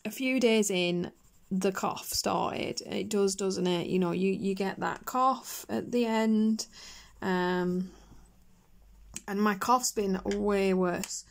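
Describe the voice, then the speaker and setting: A woman chatting casually on her colouring channel, her voice hoarse and croaky after a chest infection, rambling with fillers and coughs. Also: mid-range voice, a little mellow. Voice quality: husky. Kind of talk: casual chat while colouring.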